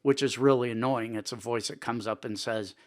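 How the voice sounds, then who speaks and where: A man's voice: mid-range voice, steady tone, fairly quick. A man presenting a casual tutorial in a conversational way, thinking aloud as he goes. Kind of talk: casual screen-recording tutorial talk.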